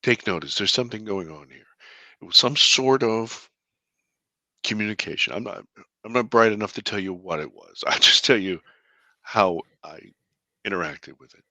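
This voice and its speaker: A deep-voiced man, a filmmaker guest in an interview, telling a personal story earnestly, with halting restarts and bursts of excitement. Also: no room sound. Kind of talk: conversational storytelling.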